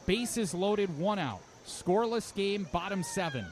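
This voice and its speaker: Baseball play-by-play man, higher-pitched, ballpark noise. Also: expressive, unhurried. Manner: clipped pitch calls between flowing commentary. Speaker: radio play-by-play announcer for baseball. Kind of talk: live baseball radio play-by-play. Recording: clear outdoor ballpark noise.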